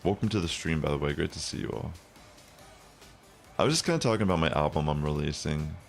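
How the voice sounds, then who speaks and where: Very deep, gravelly-voiced young man. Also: quick pace. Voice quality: very low bassy voice. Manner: rambling, many fillers. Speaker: streamer. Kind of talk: casual chat while gaming. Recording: faint music behind.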